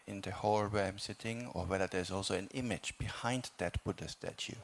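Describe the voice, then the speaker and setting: A man teaching a guided meditation, speaking in calm, measured phrases with long pauses between them. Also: fairly low pitch, creaky, a little hiss or hum. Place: meditation hall.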